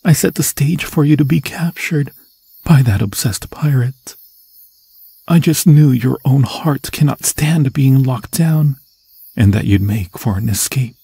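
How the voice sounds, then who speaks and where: A man voicing an obsessed, possessive demon lord in an ASMR horror roleplay, husky and breathy, often dropping into a whisper, with grandiose, seductive phrasing. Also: medium pitch, unhurried, mellow, dry recording, exaggerated voice. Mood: gloating, adoring. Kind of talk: ASMR fantasy horror roleplay, theatrical monologue. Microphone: close, dry microphone.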